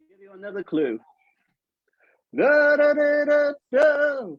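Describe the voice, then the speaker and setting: A man voicing a jolly, genteel puppet old chap with a drawn-out, chummy delivery. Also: very high-pitched, big pitch swings, lo-fi sound, voice pushed up, heavily acted. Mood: jolly, playful. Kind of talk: live puppet show character voice.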